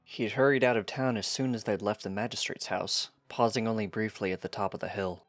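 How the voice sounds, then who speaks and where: A man's voice: lowish voice, fairly even intonation, brisk, dry recording, studio quality. A man reading a piece of fiction aloud as scripted narration.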